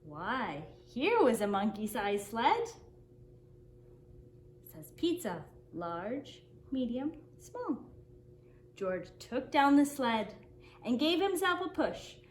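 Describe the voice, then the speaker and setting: A woman reading a children's picture book aloud as a story-time host, in an expressive, deliberate storytelling manner for young children. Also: a little high. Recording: roomy echo, faint background noise.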